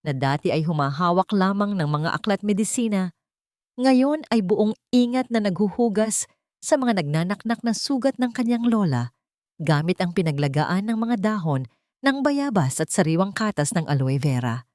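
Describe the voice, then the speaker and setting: A woman's voice: mid-range voice, animated, normal speed, darkish tone, no room sound, clean studio sound. A woman with a Filipino accent reading a scripted audio story in a dramatic storytelling style.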